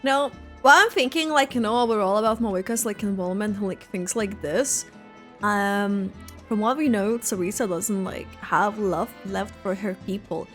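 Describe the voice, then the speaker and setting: A young woman giving casual game reaction commentary, giggly and rambling in a halting way. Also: medium pitch, a bit bright, soft background music. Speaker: game streamer.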